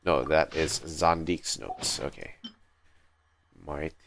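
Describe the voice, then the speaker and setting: A man's voice: very deep voice, steady tone, slow pace, noisy background. A man doing casual live commentary on a video game, sometimes reading out text from the screen. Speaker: game livestreamer.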